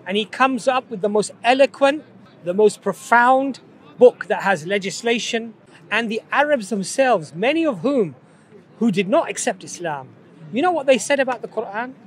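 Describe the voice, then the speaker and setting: A man lectures firmly and leans on rhetorical questions as he argues on a city street. His voice sits high for a man. He speaks outdoors among the bustle. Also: lively intonation, moderate pace. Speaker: street preacher. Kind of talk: street debate.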